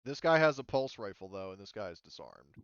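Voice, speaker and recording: A man with a low, clean voice runs a tabletop roleplaying game, explaining rules and action in casual, sometimes profane language. The sound is close and dry, slightly dulled. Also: expressive, a little fast, a bit bright, clear, resonant tone, no echo, lo-fi sound. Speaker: game master.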